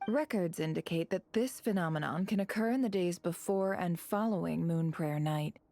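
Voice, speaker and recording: An actress playing a composed, shrewd intelligence broker in a video game, with a measured, knowing, breathy delivery. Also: female voice, lowish voice, dark tone, faint music behind, lightly acted. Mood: cool, knowing.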